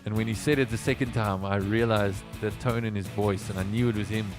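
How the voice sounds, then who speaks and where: A man's voice: lowish voice, fairly even intonation, fairly quick, music in the background. A South African man, native Afrikaans speaker, a musician telling stories on a casual livestream in a rambling way with lots of fillers. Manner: rambling, with many fillers and restarts.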